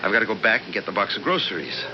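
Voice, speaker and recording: An actor playing a practical, impatient husband at a snowbound cabin in a 1970s radio drama, brusque and dismissive. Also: male voice, lowish voice, very animated, racing. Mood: brisk reassurance. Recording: muffled, noisy 1970s radio recording.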